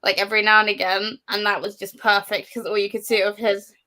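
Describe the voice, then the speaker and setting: A woman's voice: mid-range voice, little pitch movement, fairly quick, pushed and bright. A woman speaking casually as a guest on a book livestream. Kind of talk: casual chat.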